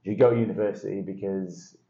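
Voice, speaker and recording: A man's voice: low-pitched, steady tone, fast talker, mellow. A man, a podcast guest talking casually and self-deprecatingly about his path. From northern England. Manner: chatty, self-deprecating, with frequent restarts and light laughs. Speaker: guest, sales director. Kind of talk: casual interview conversation. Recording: dull lo-fi sound in an echoey room.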